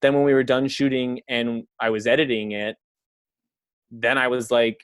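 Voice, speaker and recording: A man's voice: mid-range voice, fairly even intonation, moderate pace, smooth, dry recording. A man, a filmmaker from Canada, talking casually on a podcast in a rambling way, full of fillers and the odd swear word. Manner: rambling, heavy on fillers and profanity. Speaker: filmmaker guest.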